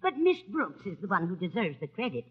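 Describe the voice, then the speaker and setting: An actress playing a kindly, modest older landlady with a raspy voice in an old-time radio comedy. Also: mid-range voice, very animated, brisk. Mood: gracious. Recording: muffled vintage radio, studio audience.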